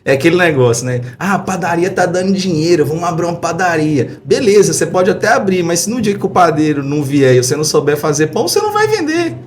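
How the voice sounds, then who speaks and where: A man's voice: fairly high pitch, big pitch swings, quick pace, few pauses. A man of about forty with a Brazilian accent from Minas Gerais, telling stories casually and effusively as an interview guest. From Belo Horizonte, Brazil. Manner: effusive, anecdotal, frequent tag questions. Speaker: podcast guest, tech entrepreneur.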